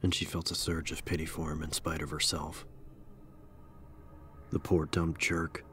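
A man narrating an audiobook in a measured, solemn, scripted dramatic style. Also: a bass voice, flat delivery, a bit bright.

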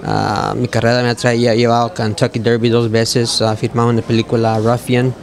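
A middle-aged man gives an on-camera interview in a racetrack clubhouse. He speaks at a medium pitch, pausing and restarting often with fillers. A handheld mic is held close to him. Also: level tone, vocal fry. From Mexico. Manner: hesitant, many fillers and restarts. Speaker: jockey.

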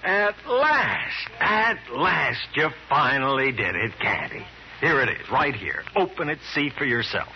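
An actor playing a teasing, tender police captain in love in a 1950s radio drama. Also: male voice, mid-range voice, very animated, measured pace. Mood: teasing, eager. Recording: muffled old radio recording, faint noise.

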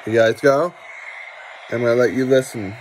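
A young adult man giving a casual, unscripted gadget demonstration, halting and often repeating himself. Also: mid-range voice, a little mellow, a little hiss or hum, poor audio. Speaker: amateur vlogger showing gadgets. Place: small furnished bedroom indoors. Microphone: phone or camera at arm's length.

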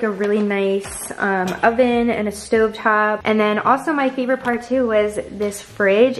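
A woman's voice: medium pitch, slight background noise. A young woman in her twenties chatting bubbly and casually to a handheld camera, peppering her talk with fillers as she films in an empty apartment. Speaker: vlogger. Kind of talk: casual vlog apartment tour. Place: empty apartment with bare walls and hard floors. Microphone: handheld camera at arm's length. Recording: echoey empty room.

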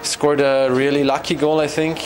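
A young man, a team captain, giving a halting post-game interview in an arena corridor. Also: on the high side, little pitch movement, a little hiss or hum. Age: young adult. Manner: halting, repeating words as he searches. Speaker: ice hockey team captain. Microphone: handheld interview mic.

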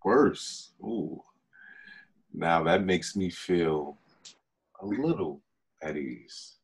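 Actor as a deep, husky, smug seducer. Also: male voice, expressive, extremely slow, full character voice. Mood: smug, teasing. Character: smug, teasing male seducer, smooth and unhurried. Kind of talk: film script table read, naturalistic acting.

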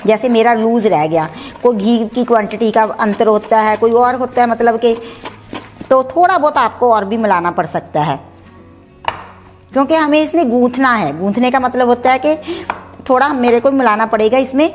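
A woman's voice: fairly high pitch, normal speed, faint music behind. Chatty woman teaching a home recipe. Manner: chatty, explanatory, mixes English words. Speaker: cooking channel host. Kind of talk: home cooking demonstration.

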